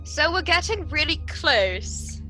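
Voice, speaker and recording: A woman's voice: high voice, very animated, slow, pushed and bright, soft background music. A woman running a tabletop game as master of ceremonies, with a playful, laughing, sarcastic way of talking.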